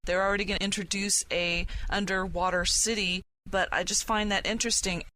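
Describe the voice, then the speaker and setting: A woman's voice: medium pitch, steady tone, brisk, pushed and bright, low-quality recording. Woman chatting over faint background noise. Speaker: podcast panelist asking questions. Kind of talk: casual gaming panel discussion.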